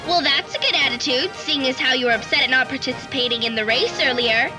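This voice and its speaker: An actress voicing a sensible young female teammate who speaks matter-of-factly in an animated cartoon dub. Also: sits high, fast, music in the background, close to an everyday voice, low-quality recording. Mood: dry. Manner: matter-of-fact, dry. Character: sensible young woman teammate, dryly level-headed. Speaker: team member.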